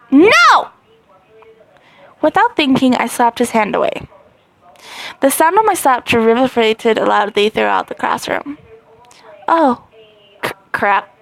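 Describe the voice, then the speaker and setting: Excitable high-voiced woman reading a game. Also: creaky, low-quality recording. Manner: excitable, dramatic, with squeaky rises. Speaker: gaming channel host. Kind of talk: reading a story game aloud.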